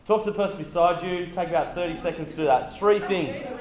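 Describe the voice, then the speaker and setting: A man with a croaky voice, leading an interactive workshop talk before a live audience in a room. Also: sits high, quick pace, darkish tone. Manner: chatty, upbeat, quizzes the audience. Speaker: workshop presenter on youth ministry. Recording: roomy, muffled room recording.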